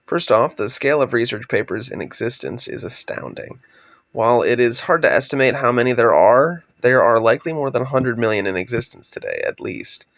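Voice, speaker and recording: Young man, raspy from a cold, gravelly, dry close mic, explanatory slide talk. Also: medium pitch, a little fast, dry recording. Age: young adult. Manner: steady, explanatory, trails off at sentence ends. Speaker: undergraduate researcher presenting. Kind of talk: scripted slide presentation narration.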